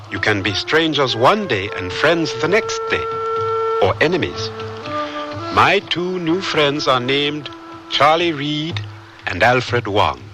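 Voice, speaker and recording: A man's voice: on the high side, rough recording. An actor playing a polite, naive young Liberian man, speaking carefully and hesitantly in a radio drama. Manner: careful, formal, hesitant phrasing.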